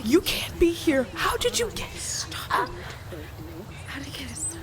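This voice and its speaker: Woman doing fragile haunted girl in audio drama, high voice, stammery pleading, voices in background. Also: very animated, creaky, crowd noise, full character voice. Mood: panicked, desperate. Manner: halting, breathless pleading with repeated words. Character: anguished, haunted young daughter, pleading, fragile. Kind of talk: radio play acting.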